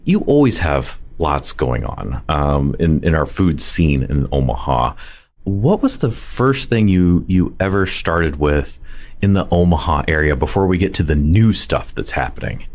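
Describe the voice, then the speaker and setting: A man's voice: very deep voice, sing-song, measured pace, a little mellow. A man hosting a casual food podcast, chatty and enthusiastic, with many fillers. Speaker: podcast host. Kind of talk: casual interview talk.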